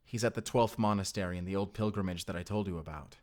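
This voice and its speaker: Male actor as mysterious vampire slayer, low voice, earnest and protective, intimate audio drama delivery. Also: fast talker, natural voice. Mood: determined. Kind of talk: cinematic audio roleplay acting.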